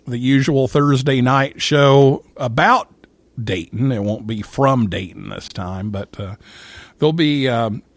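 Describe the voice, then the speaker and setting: A man hosting a casual live talk show, speaking in a halting way and often repeating his words. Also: mid-range voice, dry recording. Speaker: radio show host.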